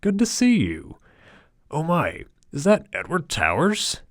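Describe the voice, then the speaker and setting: A man's voice: fairly high pitch, wildly swooping pitch, slow pace, dry recording, studio quality, pitched above the performer's own voice, full character voice. A man voicing a snobbish, dismissive businessman with a plummy, condescending drawl. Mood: airily dismissive. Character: snobbish, dismissive British businessman, middle-aged.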